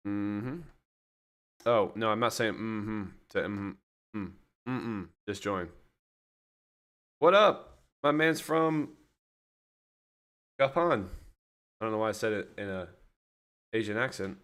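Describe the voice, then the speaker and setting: A man's voice: fairly low pitch, takes it slowly, pauses often, fairly bright tone, clean tone. A man hosting a casual livestream, chatting loosely and talking straight to his viewers. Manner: chatty, rambling, addresses viewers directly. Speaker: livestream host.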